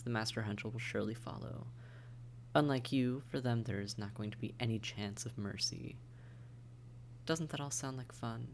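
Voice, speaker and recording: A man playing a taunting, predatory vampire in a roleplay audio drama, with mock-tender menace and breathy, coaxing speech. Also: fairly low pitch, fairly bright tone, heavily acted. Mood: smug menace. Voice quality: breathy, often whispered, creaky. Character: silky, taunting, predatory vampire toying with captive hunter. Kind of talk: immersive roleplay audio drama, often whispered.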